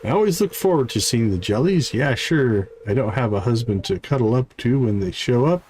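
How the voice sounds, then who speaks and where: A man's voice: medium pitch, animated, brisk, a little mellow, a little hiss or hum. A man livestreaming a game, reading character dialogue aloud with stumbles and short asides. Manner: reads on-screen text aloud with stumbles and asides. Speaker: game streamer.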